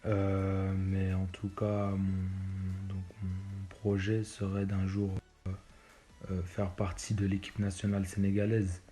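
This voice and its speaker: A young man. His voice is low and dark, and his pitch stays flat and even. He answers interview questions in a loose, halting way, often pausing and repeating words as he goes. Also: faint music behind. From Belgium. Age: 20s. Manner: hesitant, many fillers and restarts. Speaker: footballer interviewee.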